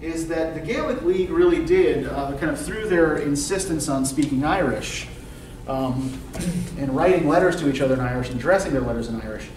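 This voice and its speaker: A man's voice: fairly high pitch, moderate pace, darkish tone. Casting: man, middle-aged college lecturer, questioning delivery, distant mic in a very reverberant classroom. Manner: questioning, often checks with "right?". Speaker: university literature lecturer. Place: classroom, indoors. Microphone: distant camera microphone, speaking through face mask. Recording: roomy classroom from far off, faint noise.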